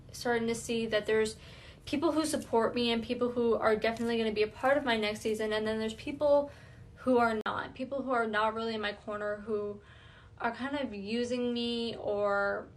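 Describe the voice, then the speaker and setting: A woman giving an earnest, reflective self-awareness talk, thinking aloud as she goes. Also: on the high side. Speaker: wellness vlogger.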